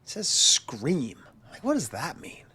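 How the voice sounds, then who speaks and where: A man's voice: a little high, huge pitch swings, measured pace, sharp tone, breathy edge. A man in his forties with a nasal, congested voice from a chest cold, chatting through a self-mocking story in a casual monologue and acting out quotes. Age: 40s. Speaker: channel host.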